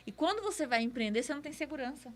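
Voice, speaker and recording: Very fast-talking Brazilian woman. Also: sits high, lively intonation. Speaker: podcast speaker, business mentor. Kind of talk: casual advice talk.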